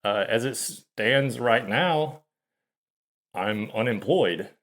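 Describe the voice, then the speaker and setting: Slow, halting man in his thirties. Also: mid-range voice, a bit bright. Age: 30s. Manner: halting and hesitant, many fillers and restarts. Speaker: channel host. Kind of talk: confessional monologue to camera.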